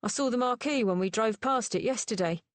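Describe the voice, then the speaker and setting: Gawky young gentleman, eager chatter, woman doing him, dry recording. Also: fairly high pitch, fairly quick, no echo, lightly acted. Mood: chatty.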